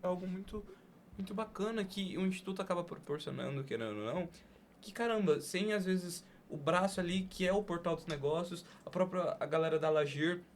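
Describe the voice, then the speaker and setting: A Brazilian man hosts a casual podcast interview. His voice sits quite high for a man and carries a marked vocal fry. The recording has clear background noise. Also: fairly quick. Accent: Brazilian Portuguese. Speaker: podcast host.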